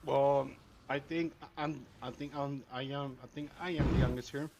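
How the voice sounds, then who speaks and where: A man in his thirties speaking quietly and hesitantly over game voice chat. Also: mid-range voice, steady tone, moderate pace, slight background noise. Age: 30s. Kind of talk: casual voice chat while gaming.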